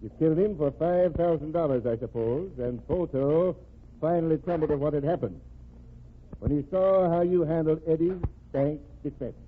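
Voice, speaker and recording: An actor playing a shrewd, stern, self-assured state governor, speaking with measured dry authority in an old-time radio crime drama. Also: male voice, fairly high pitch, natural voice. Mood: accusing, dry. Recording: noisy, tinny old radio broadcast recording.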